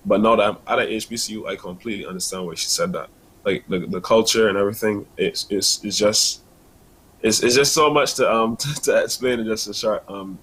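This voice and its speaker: A young man from Barbados with a Barbadian accent, a university student answering interview questions earnestly, with many pauses and restarts. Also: on the low side, a bit bright, slight background noise, low-quality recording.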